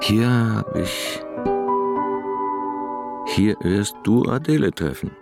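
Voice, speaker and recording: An actress playing a dreamy, slow-spoken visitor in a radio drama, with a very deep, gravelly voice. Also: female voice, animated, exaggerated voice. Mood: dreamy, wondering. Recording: loud piano music under voice. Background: piano music.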